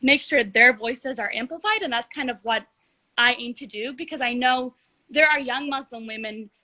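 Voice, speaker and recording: Bright, high-voiced teenage girl. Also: moderate pace. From Egypt. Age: teens. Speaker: guest, youth activist. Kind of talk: live video interview. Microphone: video call.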